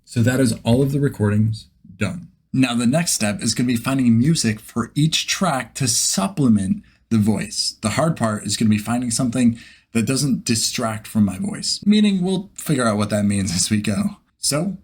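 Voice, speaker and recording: A man hosts a casual vlog in an average-pitched voice with a husky edge. He thinks aloud informally and pokes fun at himself as he goes. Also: expressive, normal speed. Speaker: host, beginner voice actor. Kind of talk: casual vlog chat to camera.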